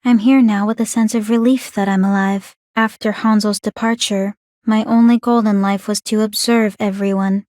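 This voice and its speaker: An actress voicing a calm, clinically curious young woman in a video game, speaking in a formal, measured, coolly teasing way. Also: mid-range voice, dry recording, studio quality, natural voice. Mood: composed, appreciative. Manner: composed, measured, formal, inquisitive. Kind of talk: scripted video game character voice lines.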